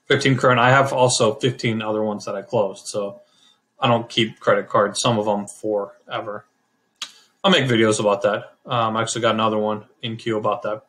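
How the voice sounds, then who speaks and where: A middle-aged man hosting a casual screen-share walkthrough, talking in a relaxed, rambling way and correcting himself as he goes. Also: on the low side, fairly quick. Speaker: channel host explaining credit scores.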